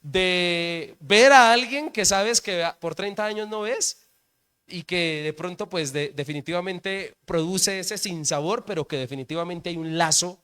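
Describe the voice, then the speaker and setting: A man's voice: high voice, measured pace, sharp tone, smooth. A man, a pastor preaching at a church service, telling a personal story in a conversational way and often asking the congregation questions.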